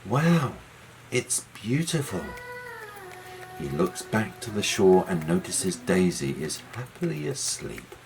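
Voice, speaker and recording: Give this a gentle storyteller for a children's tale. The voice sits low and takes its time, rising and falling expressively. Music plays clearly behind it. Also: rough recording. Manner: measured, expressive delivery.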